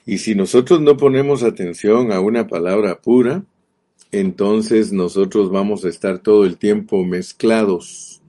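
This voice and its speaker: A middle-aged man from Guatemala with a Guatemalan accent delivers a sermon in an earnest, emphatic way. His voice sits very low and sounds dark and mellow. He often repeats a word slowly to drive a point home. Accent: Guatemalan Spanish. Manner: earnest, emphatic, repeats key words, slows down to stress them. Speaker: preacher.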